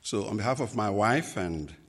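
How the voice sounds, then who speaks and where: A man from Nigeria, a native Igbo speaker with a Nigerian accent, preaching a sermon as a pastor, slow and deliberate with many pauses and fillers. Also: fairly low pitch, expressive, in a large hall.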